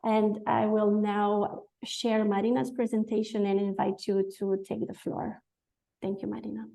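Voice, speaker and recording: A woman's voice: medium pitch, little pitch movement, gravelly, dark tone. A woman moderating an online webinar over a video call, giving housekeeping notes and speaker introductions with frequent filler sounds. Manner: quick, with many "uh" and "um" fillers.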